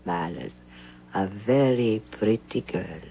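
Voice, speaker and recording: Languid, slow-drawling strange señora. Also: female voice, a bass voice, lively intonation, full character voice. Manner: drowsy, languid, drawn-out. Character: languid, strange, sensual older mother, lady of the house. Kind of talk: old-time radio drama acting. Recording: muffled lo-fi old radio, hum.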